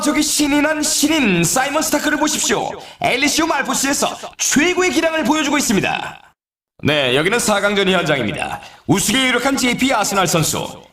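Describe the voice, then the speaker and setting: Hyped up game race announcer, showy sportscaster style, really animated pitch, echoey sound. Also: male voice, full character voice. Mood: excited, announcing. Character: lively male snowboard race announcer, upbeat and hyped. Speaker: sports announcer in a snowboard racing video game. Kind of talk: excited scripted race commentary.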